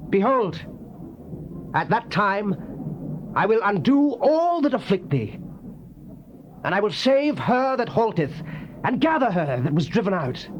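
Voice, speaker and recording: A fervent biblical prophet with a high, sweeping voice. He proclaims scripture slowly and solemnly, with exultant weight on each phrase, on a hissy old cassette recording. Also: big pitch swings. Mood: solemn promise. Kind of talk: dramatized King James scripture reading. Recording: dull, noisy old cassette tape.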